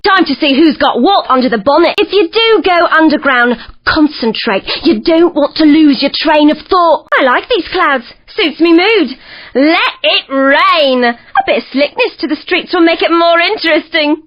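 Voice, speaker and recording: A woman's voice: high-pitched, expressive, normal speed, highs cut off. A woman playing a jaded, moody young Londoner with an English accent and a dry manner, in a video game. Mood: jaded, cool. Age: young adult. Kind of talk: scripted video game announcer lines.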